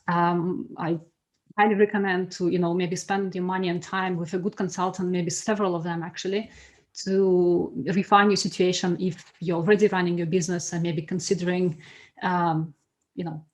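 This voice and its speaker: A woman in her thirties with a non-native English accent gives an informal talk, hesitantly searching for words. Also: mid-range voice. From expat living in Belgium, grew up abroad. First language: not English. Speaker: speaker, event producer. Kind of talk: informal online talk sharing personal lessons. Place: small home room, indoors. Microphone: video call, computer mic.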